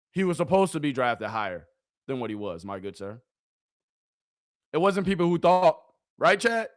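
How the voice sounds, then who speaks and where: A man hosting a live watch-party stream, hoarse from yelling, talking animatedly to his chat and repeating catchphrases. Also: a little high.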